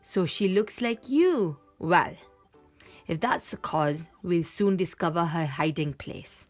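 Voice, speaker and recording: A woman's voice: lowish voice, animated, takes it slowly, soft background music. A woman voicing a helpful but muddled butterfly in a children's picture book, eagerly coaxing and confident as a guide.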